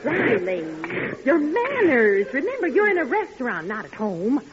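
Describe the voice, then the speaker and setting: An actress playing an affectionate but nagging, exasperated wife in an expressive style of vintage radio comedy. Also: female voice, sits high, moderate pace, a loud crowd. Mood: scolding. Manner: scolding, expressive. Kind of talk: 1940s radio comedy acting. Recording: dull old radio recording.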